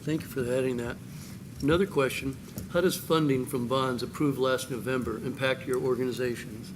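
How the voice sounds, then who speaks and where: A male moderator reading questions to a panel in a formal, steady way, from a distant mic with noticeable room noise. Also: medium pitch, normal speed.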